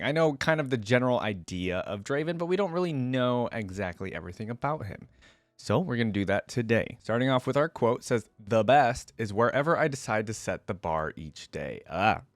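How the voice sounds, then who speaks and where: A man's voice: lowish voice, dry recording. A man doing casual reaction commentary, reading text aloud and adding his own remarks. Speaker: host of a gaming reaction channel.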